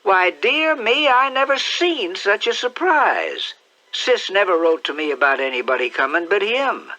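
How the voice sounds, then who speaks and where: A man voicing an excitable, fussy older rural aunt with shrill, scolding exclamations. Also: a little slow, full character voice, low-quality recording. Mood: astonished.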